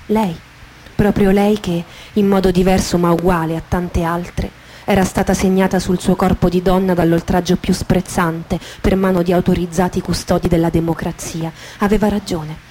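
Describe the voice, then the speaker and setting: Measured woman reading dramatically over music. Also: on the low side, flat delivery, dark tone, a little hiss or hum. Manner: measured, short phrases with deliberate pauses. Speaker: actress reading a dramatised memoir. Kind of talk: dramatic literary narration, radio theatre. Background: guitar music behind the voice.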